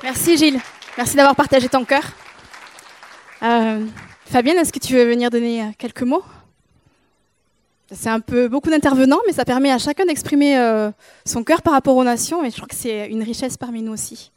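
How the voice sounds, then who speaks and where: A woman leads a church gathering, thanking speakers and calling the next one forward. Her voice sits quite high, with the sound dry and a faint crowd of listeners around. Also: moderate pace, dry recording. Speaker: service leader. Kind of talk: leading a church meeting.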